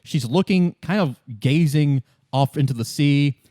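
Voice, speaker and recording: A man's voice: on the high side, a little slow, mellow, no echo, studio quality. A man improvising narration as a tabletop game master, conversational and often rephrasing as he goes.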